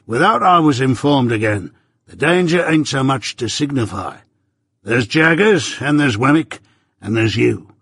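A man voicing a gravelly old rough-spoken ex-convict. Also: mid-range voice, animated, a little slow, no room sound, studio quality, heavily acted. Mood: confiding. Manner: broad rustic dialect, blustering then hushed. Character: rough old male ex-convict, gruff, doting. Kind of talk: audiobook character voice.

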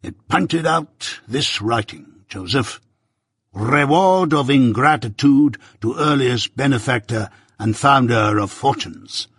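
A man voicing a pompous, self-righteous older merchant who lectures slowly and loudly in a raised, husky voice. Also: mid-range voice, lively intonation, dry recording, clean studio sound, exaggerated voice. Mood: lecturing. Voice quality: pompous, preaching, drawn-out emphasis.

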